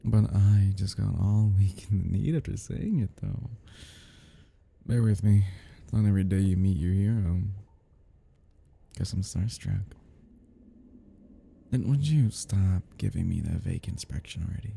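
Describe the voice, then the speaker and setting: A man playing an obsessed, giddy and menacing crime scene cleaner in an intimate audio roleplay, rambling in a husky voice that drops into whispers. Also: low-pitched, unhurried, pauses often, warm tone, heavily acted. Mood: obsessed, admiring. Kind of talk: intimate ASMR-style dramatic roleplay.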